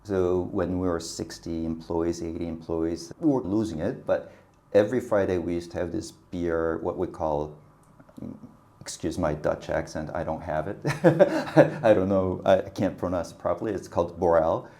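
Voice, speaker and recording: Very low-voiced man telling work stories. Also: moderate pace. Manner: animated, laughs often, acts out quoted dialogue. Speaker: guest, business consultant. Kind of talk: casual interview storytelling.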